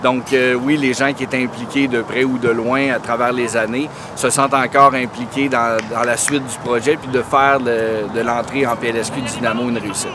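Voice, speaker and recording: Club official, outdoor noise. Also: male voice, mid-range voice, steady tone, moderate pace, few pauses. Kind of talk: outdoor interview. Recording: noisy outdoor background.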